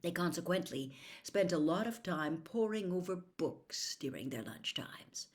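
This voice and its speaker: A woman reading a children's fantasy novel aloud as an expressive storybook narrator. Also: fairly low pitch, unhurried. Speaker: audiobook reader.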